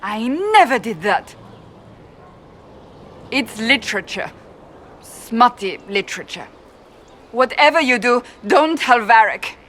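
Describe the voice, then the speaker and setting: A woman's voice: a little high, big pitch swings, slow pace, long pauses, fairly bright tone, a little hiss or hum, exaggerated voice. An actress playing a stern, proud woman warrior in a video game, clipped and defensive, earnest underneath. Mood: flustered, indignant. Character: stern proud woman warrior, flustered secret romance reader.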